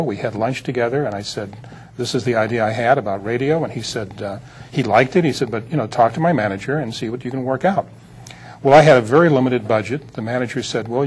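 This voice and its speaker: A man's voice: medium pitch, darkish tone, slight background noise. An older man being interviewed as a producer, reminiscing in a rambling, anecdotal way. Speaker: interview guest, film producer.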